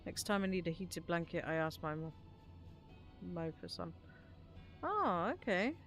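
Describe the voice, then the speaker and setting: A woman's voice: fairly low pitch, big pitch swings, measured pace. A woman chatting casually and playfully as she plays on a gaming live stream. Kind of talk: casual live stream chat while playing. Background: faint music.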